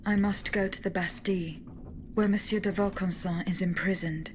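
An actress playing a resolute female automaton in a video game, speaking in a formal, measured and terse way. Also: mid-range voice, fairly even intonation, a little hiss or hum, lightly acted. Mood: cool, resolute. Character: poised, resolute female clockwork automaton huntress. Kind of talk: video game cutscene acting.

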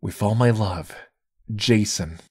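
A man playing a polite, reserved young gentleman in a visual novel, courteous and measured. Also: on the low side, slightly rough, full character voice.

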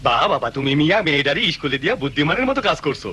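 A man voicing an opinionated, approving older village man in a cartoon, emphatic and husky, with a rural Bangladeshi accent. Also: fairly high pitch, big pitch swings, racing, pushed and bright, a little hiss or hum. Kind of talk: animated cartoon voice acting.

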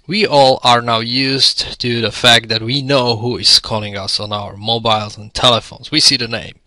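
A man's voice: medium pitch, sharp tone, no echo. A man narrating an instructional product demo in a friendly, step-by-step explanatory way. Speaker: tutorial presenter.

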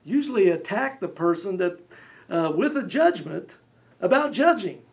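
A man's voice: sits high, very animated, moderate pace, a little hiss or hum. A man in his forties discussing the Bible in a small studio, talking animatedly with lots of gestures and brief acted-out quotes. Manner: gestures a lot, acts out little voices. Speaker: co-host. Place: small studio set with armchairs.

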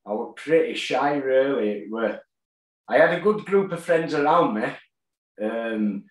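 A man's voice: mid-range voice, animated. Man in an echoey kitchen. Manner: plain-spoken, halting with fillers. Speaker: guest, boxer and gym owner. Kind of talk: casual interview storytelling. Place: kitchen at home, indoors. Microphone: tablet on a video call. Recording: echoey room.

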